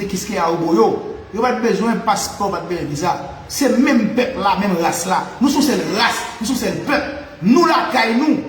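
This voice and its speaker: A Haitian man delivering an impassioned spiritual monologue, mixing Creole and French, repeating phrases and laughing often. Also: high-pitched. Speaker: spiritual commentator. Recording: echoey room with faint ambient noise.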